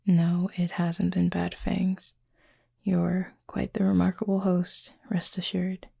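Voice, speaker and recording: A woman acts out a courteous, softly curious cupid in an intimate audio roleplay. The voice is husky and breathy, steeped in gravelly creak, with a mellow tone. The phrasing is formal, measured and sincere. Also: fairly low pitch, completely flat delivery, dry recording, clean studio sound, full character voice. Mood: curious, reserved. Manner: polite, formal, measured, earnest. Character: gentle, formal, curious supernatural cupid roommate.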